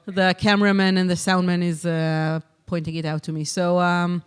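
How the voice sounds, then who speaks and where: A conference session convener speaks into a handheld microphone. The voice is smooth and clear at a mid pitch. The delivery is measured, with many ums and uhs between phrases. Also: flat delivery. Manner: measured, with frequent um and uh. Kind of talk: conference moderation and answers. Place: conference hall.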